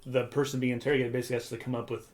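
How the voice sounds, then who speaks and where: A man talks very quickly in an easygoing podcast conversation, with a middling pitch. His explanations are loose and informal, peppered with filler as he works through them. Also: flat delivery, crowd noise. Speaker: podcast co-host. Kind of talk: casual chat.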